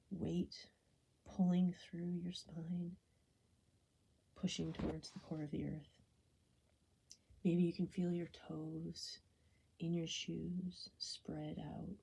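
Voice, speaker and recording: A woman guiding a body scan meditation, speaking softly and gently in an unhurried, soothing way, often slipping toward a whisper. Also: low voice. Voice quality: soft, breathy, often falling into a whisper. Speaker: meditation guide.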